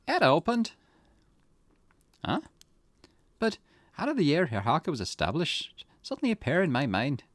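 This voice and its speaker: Man voicing a musing, wildly animated game investigator. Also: sits high, no echo, pitched above the performer's own voice, exaggerated voice. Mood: puzzled. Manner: musing, questioning, swooping intonation. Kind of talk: visual novel character reading. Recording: music behind the voice.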